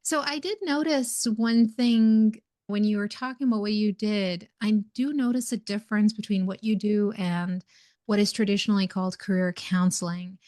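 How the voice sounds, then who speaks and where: A woman's voice: mid-range voice, steady tone, normal speed, darkish tone. Lady hosting an interview podcast, chatty, really dry sound with no room echo. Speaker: podcast host, organizational coach. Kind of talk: conversational interview.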